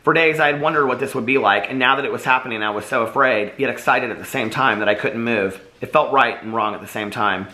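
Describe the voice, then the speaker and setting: Man, author reading aloud, bright tone, slightly worn voice, small restarts. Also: medium pitch, quick pace, few pauses. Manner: chatty, friendly intro, unpolished read-through with small restarts. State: slightly losing his voice. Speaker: author reading his own novel aloud. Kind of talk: casual chat, then reading a novel aloud.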